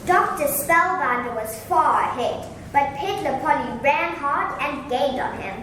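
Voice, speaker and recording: High-pitched woman narrating in an echoey room. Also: unhurried, fairly bright tone. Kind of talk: scripted story narration, readers theatre. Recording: very echoey room, distant mic, noisy.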